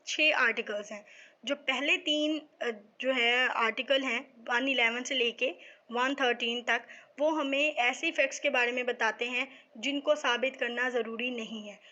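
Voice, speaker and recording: A woman's voice: high-pitched, sharp tone. A Pakistani woman giving a methodical explanatory law lecture for exam students, often checking in with a short tag phrase. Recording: dull lo-fi microphone sound.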